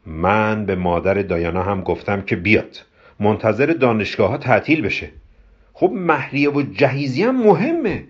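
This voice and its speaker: A man's voice: a little high, very animated, hardly any pauses, darkish tone, husky, voice pushed up. A man voicing an indignant, stern, protective mother in an acted audiobook, on a dull recording. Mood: serious, firm. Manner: angry, objecting, serious.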